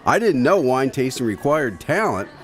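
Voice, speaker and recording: Actor as a folksy, animated, blunt everyman. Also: mid-range voice, moderate pace, soft background music, natural voice. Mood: wary, blunt. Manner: folksy, tactless. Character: chatty, blunt, suspicious man telling his adventure. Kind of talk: radio drama narration and acting.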